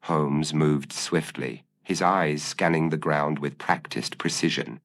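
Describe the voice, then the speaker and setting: A man narrating a gothic detective story in a measured, formal manner. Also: extremely low pitch, fairly even intonation, a bit bright. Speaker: audiobook narrator.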